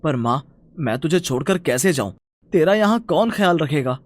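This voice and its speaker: Earnest young son, animated pitch, man acting. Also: normal speed, no room sound. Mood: concerned, reassuring. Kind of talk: cartoon fairy-tale voice acting.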